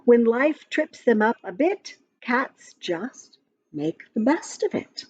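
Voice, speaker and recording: A woman reading a rhyming picture book aloud to young children in an expressive, sing-song way, with counting and little asides. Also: on the high side, slow, slightly rough. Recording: muffled lo-fi audio with background music. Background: music from a picture-book app.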